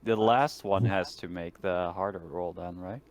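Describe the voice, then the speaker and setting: Low-pitched male tabletop player. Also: measured pace. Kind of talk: casual table chat.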